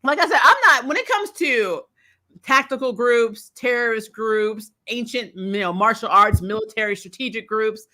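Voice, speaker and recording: Bright-voiced woman in casual fan chat. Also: fairly high pitch, moderate pace. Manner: animated storyteller, sarcastic, mild swearing. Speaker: co-host of a comic book discussion stream. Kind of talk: casual fan chat, reading and answering viewer comments.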